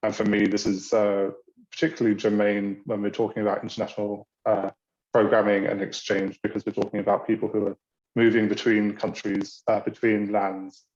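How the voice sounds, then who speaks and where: A British man giving an online orientation talk for a university's international office, pausing often with ums and uhs. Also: lowish voice, level tone, a little mellow, lo-fi sound. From Britain. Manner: hesitant, many ums and uhs. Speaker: university international programs staff. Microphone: video call.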